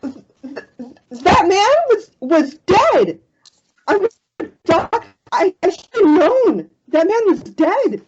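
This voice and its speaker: A woman's voice: very high-pitched, big pitch swings, very slow, a little mellow, dull audio, heavily acted. A woman playing a distraught, horrified young woman in a horror script read-through. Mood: horrified, tearful.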